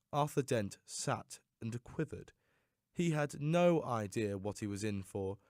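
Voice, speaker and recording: Male audiobook narrator: mid-pitched, lively and theatrical, recorded dry. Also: dry recording. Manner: theatrical, expressive storytelling. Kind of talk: dramatic scripted audiobook narration.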